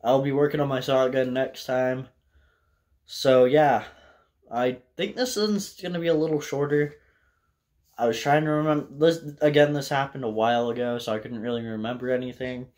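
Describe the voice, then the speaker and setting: A young man with an American accent and a medium-pitched voice talks off the cuff as a vlogger. His storytelling is casual and halting, wandering as he goes and peppered with profanity. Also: steady tone, darkish tone. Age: young adult. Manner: loose, profane, halting delivery. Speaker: vlogger telling a personal story. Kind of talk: casual rambling storytelling to camera. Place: small indoor room with bare wall.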